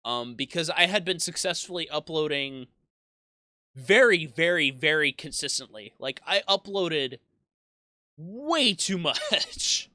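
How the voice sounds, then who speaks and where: A man's voice: a little high, big pitch swings, takes it slowly, bright, forceful tone, dry recording, studio quality. A man in his twenties, a YouTube gaming creator, reflecting in a casual monologue that rambles with frequent restarts and self-deprecating asides. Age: 20s.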